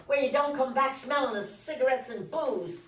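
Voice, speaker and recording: A woman reading the part of a fed-up, sharp-tongued wife and mother in an amateur stage play. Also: sits high, moderate pace, crowd noise, lightly acted. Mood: scolding. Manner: scolding, accusatory. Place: indoor room at a live reading. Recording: echoey room, distant mic, faint noise.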